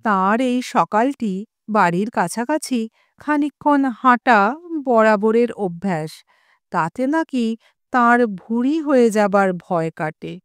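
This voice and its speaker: A woman narrating a scripted story in a measured, expressive way, as an audio storyteller. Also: fairly high pitch, clear, resonant tone, dry recording, clean studio sound.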